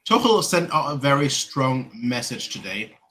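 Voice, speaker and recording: Young male fan-show co-host, bright and punchy, mocking outbursts. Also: mid-range voice, sing-song, unhurried, poor audio. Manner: ranting, mocking, bursts of outrage. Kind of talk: heated football fan chat.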